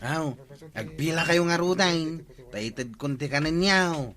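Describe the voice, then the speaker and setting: An actor playing a respectful, down-to-earth village nephew in an Ilocano radio comedy drama, with an Ilocano accent. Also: male voice, on the high side, slow, fairly bright tone. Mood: matter-of-fact. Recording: music under the dialogue.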